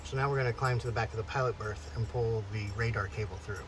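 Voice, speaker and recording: A man's voice: on the low side, fast talker, background noise. A man giving a casual, matter-of-fact how-to explanation to camera as a vlogger, working in a cramped space. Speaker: boat DIY vlogger. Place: cramped interior of a boat in a yard.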